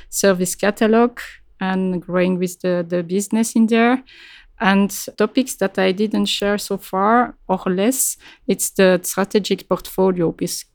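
A woman's voice: medium pitch, vocal fry, no echo, clean studio sound. A woman answering interview questions as a client project leader, in direct, emphatic, clipped phrases.

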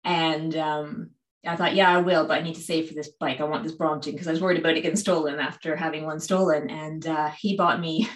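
Canadian woman guest on a podcast, slightly low voice, chatty stories poking fun at herself, echoey room. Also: little pitch movement, a little fast. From Halifax, Canada. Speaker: podcast guest, cycling shop owner. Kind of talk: casual conversational storytelling.

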